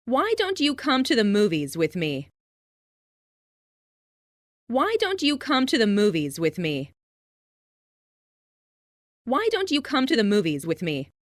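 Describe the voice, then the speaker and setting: A woman reading scripted English example sentences for language learners, enunciating carefully and deliberately. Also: a little high, huge pitch swings, no echo, clean studio sound. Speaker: English lesson narrator.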